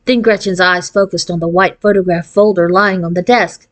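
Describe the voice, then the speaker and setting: A woman reading a children's storybook aloud in an expressive, narrating manner. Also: fairly low pitch, moderate pace. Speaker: audiobook reader.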